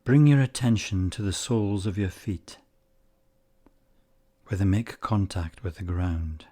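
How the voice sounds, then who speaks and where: Slow, mellow-voiced man guiding meditation. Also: sits low. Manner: calm, measured, short phrases with long pauses. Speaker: meditation guide. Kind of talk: guided meditation narration.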